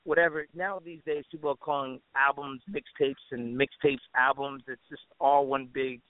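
A man's voice: mid-range voice, fairly even intonation, normal speed, no room sound. A man, a musician guest, chatting casually and laid-back, often saying "you know". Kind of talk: casual interview chat. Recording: tinny, narrow phone line.

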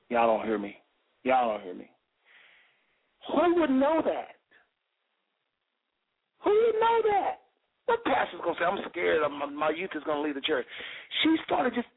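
A man with a slightly raspy voice hosting a radio show, preaching fervently with rhetorical repetition and reading scripture aloud. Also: medium pitch. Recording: phone-like radio call-in sound.

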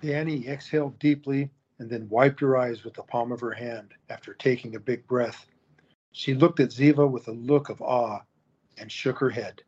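A male narrator reads the scene directions in a measured way. His voice has a husky grain. The recording sounds dull and lo-fi. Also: medium pitch, fairly even intonation, darkish tone. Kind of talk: scripted narration.

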